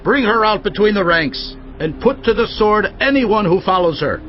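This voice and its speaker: A stern, commanding priest in an audio drama, handing out orders with firm authority. His voice sits fairly high for a man and comes through a little dull. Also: expressive, normal speed, slight background noise. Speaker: voice actor. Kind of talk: dramatized audio Bible acting.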